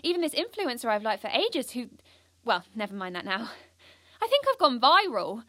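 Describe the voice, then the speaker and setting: A woman's voice: a little high, very animated, moderate pace, pushed and bright, no echo, heavily acted. A young British woman playing an earnest, ambitious, anxious schoolgirl who confides her story in an audio drama. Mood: amazed. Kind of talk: first-person audio drama narration.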